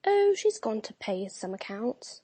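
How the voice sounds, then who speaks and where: Man putting on a high-pitched, mocking proud woman. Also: very animated, unhurried, warm tone, breathy edge, dry recording, full character voice. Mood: coaxing, impatient. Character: proud, fierce woman of thirty-three, mocking and coaxing. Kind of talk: dramatic audiobook reading.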